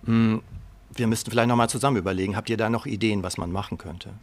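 A man's voice: lowish voice, steady tone, fast talker. A man acting as a friendly school headmaster in a radio play. He talks in a wordy, colloquial way and often hesitates, sounding cautious and slightly worried. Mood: casual, concerned.

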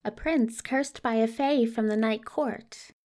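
A woman's voice: a little high, measured pace, a little mellow, natural voice. A woman voicing an anxious, courtly male messenger who speaks with fussy, flustered politeness, eager to please, in an audio drama. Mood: earnest. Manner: flustered, placating, genteel phrasing. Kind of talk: multivoice podfic audio drama acting.

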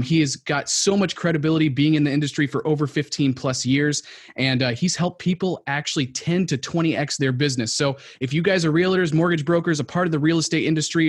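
A man from New England hosting a podcast, giving upbeat introductions and asking icebreaker questions. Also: a little high. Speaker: podcast host.

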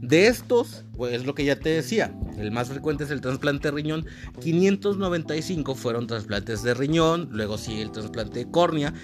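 Mexican male podcast host, mid pitch, loose and chatty, guitar music under the voice. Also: expressive, moderate pace. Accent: Mexican Spanish. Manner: chatty, informal, hesitant with fillers and restarts. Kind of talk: casual solo podcast chat.

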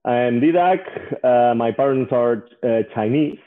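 A man in his forties from Spain, an English speaker who pauses often with "uh" as an interview guest. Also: mid-range voice. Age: 40s. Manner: hesitant, frequent "uh" fillers. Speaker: guest, investor. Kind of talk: casual interview. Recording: tinny phone-like line.

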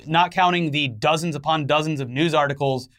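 A man with a slightly high voice co-hosts an entertainment news show. He moves between scripted reporting and loose, conversational banter. His delivery carries a wry, sarcastic edge. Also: a little fast, fairly bright tone. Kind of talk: semi-scripted news commentary with casual banter.

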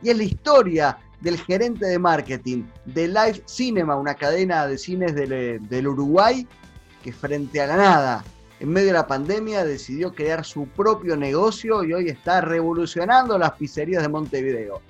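A man with a Rioplatense Spanish accent hosting a business podcast interview, talking in an excited, emphatic way. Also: fairly high pitch, highs cut off, soft background music. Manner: excited, emphatic, rapid asides. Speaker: podcast host.

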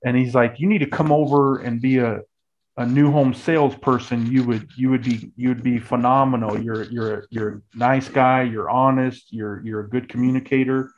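A man's voice: medium pitch, fairly even intonation, normal speed, lo-fi sound, slight background noise. An American man in his early forties telling his story in a casual, conversational way, often restarting and repeating phrases mid-thought. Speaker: guest, real estate agent.

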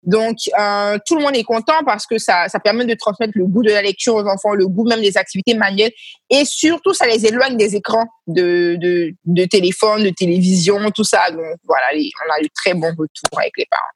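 A woman's voice: mid-range voice, fairly quick, slightly rough, no echo. A woman speaking as an interview guest about reading workshops, talking in a hesitant way and repeating words as she searches for them. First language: Senoufo heritage, speaks it only at a basic level. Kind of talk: conversational interview answers.

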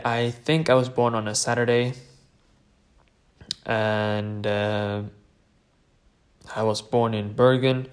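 A man in his late teens from Norway, tired late at night, rambling hesitantly as he tells his own story on a solo podcast. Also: on the low side. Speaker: podcast host.

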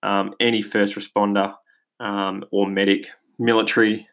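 A man's voice: fairly low pitch, level tone, normal speed, creaky, a bit bright. A middle-aged Australian man hosting his own podcast in a casual, eager monologue, with plenty of fillers and restarts, on basic home recording gear. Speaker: podcast host. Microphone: home podcast mic, basic quality.

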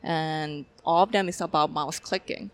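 Young Thai-accented woman, guest director, hesitant and chatty. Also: sits low. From Thailand. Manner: halting, many fillers, laughs often. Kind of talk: casual interview.

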